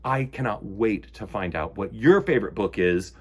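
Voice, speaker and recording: Husky, highly animated male storytime host. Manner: expressive, dramatic pauses. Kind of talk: storybook reading and chat to children.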